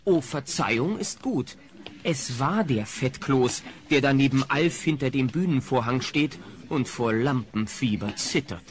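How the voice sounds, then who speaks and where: A man's voice: mid-range voice, expressive, measured pace. A man narrating a scripted audio drama as its storyteller. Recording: faintly noisy cassette recording.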